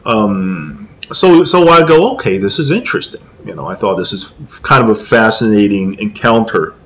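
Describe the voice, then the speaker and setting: A man from Taiwan who grew up in America, talking casually and haltingly as a comic creator being interviewed, circling back over his phrases. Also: on the low side, animated, a bit bright, slight background noise. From Taiwan, moved to America at nine. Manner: rambling, halting, repeats phrases. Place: small room with posters, indoors. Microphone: desk condenser microphone with pop filter.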